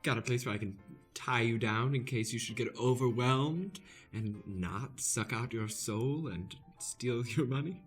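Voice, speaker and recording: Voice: medium pitch, measured pace, hardly any pauses, faint music behind, close to an everyday voice. An improv actor playing a flirty, scheming aging female movie star in a theatrical radio play, full of suggestive innuendo. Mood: seductive, scheming.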